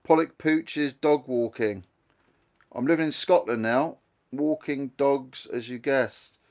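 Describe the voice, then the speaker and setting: A man's voice: mid-range voice, slow pace, darkish tone. A man with a Guernsey accent hosting a casual live stream, rambling along and reading viewer comments aloud as he chats. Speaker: livestream host.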